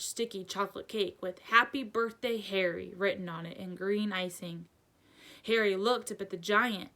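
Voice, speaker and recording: A woman narrates a children's story expressively, like a teacher reading aloud. Her voice is bright and forceful, at an average pitch.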